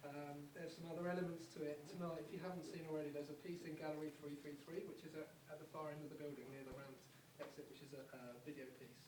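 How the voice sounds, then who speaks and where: Guy introducing a show, bit high voiced, halting and informal, noisy echoey recording. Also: little pitch movement. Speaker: event host introducing a performance. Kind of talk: informal, unscripted welcome speech. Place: arts venue, recorded from afar. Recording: echoey, noisy, distant microphone.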